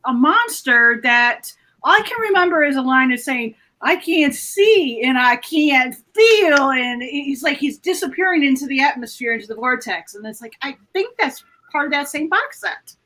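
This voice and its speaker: A woman with a very bright, slightly high voice speaks as a podcast panelist. Her style is conversational and explanatory. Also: animated, normal speed, hardly any pauses, poor audio. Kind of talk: casual explanatory panel chat.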